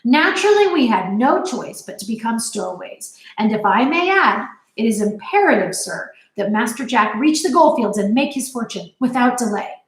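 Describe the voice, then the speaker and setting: A woman's voice: on the high side, animated, moderate pace, hardly any pauses, close to an everyday voice. A woman voicing an unflappable butler who speaks politely and formally. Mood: composed. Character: unflappable, courteous gentleman's butler. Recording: roomy echo.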